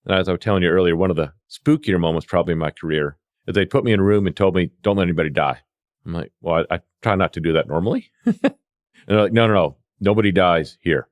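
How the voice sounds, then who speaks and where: A man's voice: low voice, fast. A middle-aged man telling casual anecdotes as a podcast guest, acting out bits of dialogue as he goes. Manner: animated re-enacting of dialogue. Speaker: podcast guest, veteran EMS worker.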